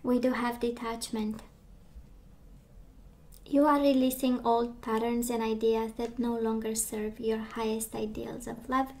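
A woman giving a casual tarot reading, chatty and reassuring, her voice strained as she loses it and clears her throat. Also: on the high side, flat delivery, unhurried, a little mellow. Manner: chatty, reassuring, many fillers and restarts. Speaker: tarot reader. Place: indoors at a table of tarot decks.

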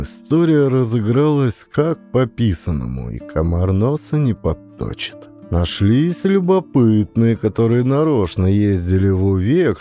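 A man reading classic prose as an audiobook narrator, in an expressive, theatrical, folksy storytelling style. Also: on the low side.

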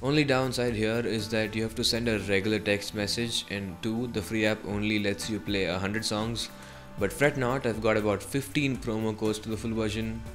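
A man with a fairly low voice narrates a scripted tech review. He speaks as a video presenter, with light music playing behind him. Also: fairly even intonation, normal speed, fairly bright tone. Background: background music.

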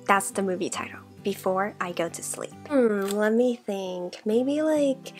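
A woman in her twenties from Taiwan, whose first language is Mandarin Chinese, answering questions about herself in a soft-spoken vlog. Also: mid-range voice, unhurried, soft background music. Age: 20s. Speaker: vlogger. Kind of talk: get-to-know-me Q&A vlog. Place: bedroom with shelves, indoors. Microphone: desk condenser mic in front.

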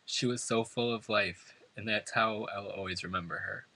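A man's voice: lowish voice, steady tone, moderate pace, bright, forceful tone, no echo, low-quality recording. A man from New York State reading a prepared eulogy at a funeral, earnest and emotional. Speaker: son giving a eulogy. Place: funeral service before family and friends.

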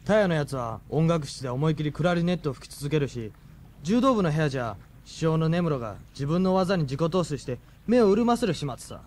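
An actor playing a teasing, brash high school boy in a radio drama. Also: animated, a little fast, a little mellow, slight background noise, close to an everyday voice.

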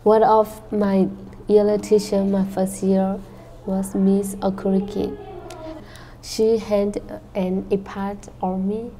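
Soft flat-voiced teenage girl telling her story. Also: medium pitch, slow, a little hiss or hum, poor audio. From Burma. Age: late teens. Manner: careful, simple phrasing. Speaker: high school student. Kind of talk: reading a personal story.

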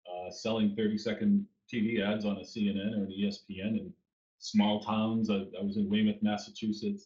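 Fiftyish Boston man, lowish voice, rambling guest, roomy muffled webcam audio. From Boston area. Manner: rambling with fillers and restarts. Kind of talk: interview answers. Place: home room. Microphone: video call webcam.

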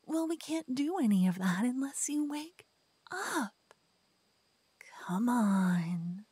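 A woman's voice: a little high, big pitch swings, slow, slightly rough, no echo. A woman playing a teasing whispering lover. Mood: playful teasing. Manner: playful, teasing, soft whispering. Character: cocky playful young woman, a devoted lover waking her partner. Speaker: ASMR roleplay performer. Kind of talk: whispered ASMR roleplay. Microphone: binaural mic.

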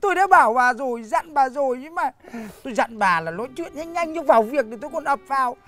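An actor with a Northern Vietnamese accent playing a hapless, panicky, pleading husband who repeats himself in loud exclamations. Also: male voice, very high voice, lively intonation, quick pace, soft background music, full character voice. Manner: stammering repetition, loud exclamations. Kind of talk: broad comedy sketch acting.

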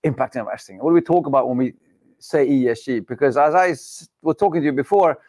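A man's voice: mid-range voice, lively intonation, quick pace, a little mellow, no echo. A middle-aged man hosting a live studio interview show, upbeat, chatty and joking with his guest. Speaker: podcast host. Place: studio set with dark backdrop.

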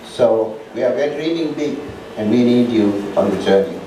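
A man's voice: mid-range voice, lively intonation, normal speed, background noise. A man hosting a startup meetup and making announcements, with a rambling manner and frequent restarts. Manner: rambling, restarts often, enthusiastic. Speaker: meetup organizer and host. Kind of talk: live event announcements. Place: large dark event hall, at a lectern. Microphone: handheld mic through PA, filmed from far. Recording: echoey hall, distant camera.

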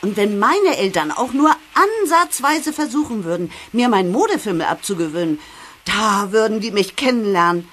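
A woman's voice: medium pitch, big pitch swings, normal speed, a bit bright. An actress playing a pushy, gushing, fashion-mad schoolgirl in a children's audio drama, insistent and dramatic.

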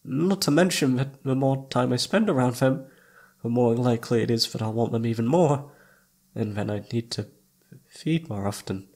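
A man playing a shy, flustered young incubus with a husky, slightly creaky voice, stammering and hesitant in a romantic ASMR audio roleplay. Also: medium pitch, normal speed, darkish tone, close to an everyday voice. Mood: nervous, evasive. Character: shy, flustered young male incubus roommate confessing his crush.

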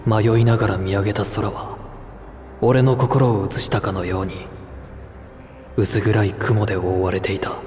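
A man's voice: on the low side, steady tone, brisk, pauses often, darkish tone, close to an everyday voice. A man playing a somber young man in a video game, narrating an uneasy homecoming in a brooding, reflective way. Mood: somber, troubled. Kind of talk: scripted game cutscene monologue. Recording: long echoing reverb, music underneath.

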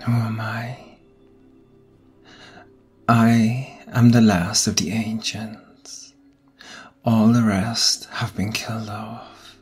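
Man acting a whispering, breathy ancient vampire. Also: on the low side, level tone, exaggerated voice. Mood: earnest, intense. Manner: slow, hushed, deliberate, coaxing. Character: ancient male vampire, menacing yet soothing, softly seductive captor. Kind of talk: ASMR roleplay, whispered dramatic monologue. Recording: close voice over background music. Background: music.

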